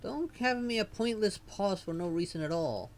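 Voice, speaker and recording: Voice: mid-range voice, expressive, moderate pace, a little hiss or hum. A game streamer commenting casually and reading dialogue aloud, with sardonic, muttered asides. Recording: game music behind the voice.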